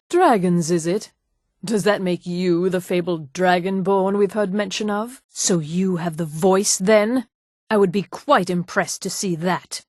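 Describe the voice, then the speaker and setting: A woman voicing a haughty high elf in a video game, speaking in a formal, measured, imperious way. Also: darkish tone, no room sound, clean studio sound. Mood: intrigued, reserved. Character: haughty female high elf mage and guard. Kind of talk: video game character dialogue.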